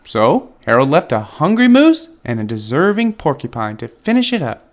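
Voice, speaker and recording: Animated man reading a children's storybook. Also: on the high side, normal speed. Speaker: children's book reader and channel host. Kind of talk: expressive storybook read-aloud.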